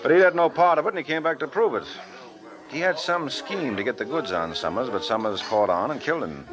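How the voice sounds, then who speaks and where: An American actor playing a wry, confident investigator in a vintage radio drama, speaking in a brisk, plain-spoken way. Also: male voice, medium pitch, expressive, music in the background, close to an everyday voice, low-quality recording. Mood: explaining, assured. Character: wry, confident male investigator explaining a murder case. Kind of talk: 1940s radio drama acting.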